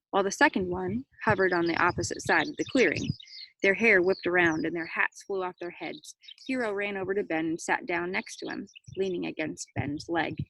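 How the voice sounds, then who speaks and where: A woman reading a children's story aloud, with expressive dramatic emphasis and brief explanatory asides. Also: lowish voice, dry recording, low-quality recording. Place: outdoors near cows. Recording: faint background noise.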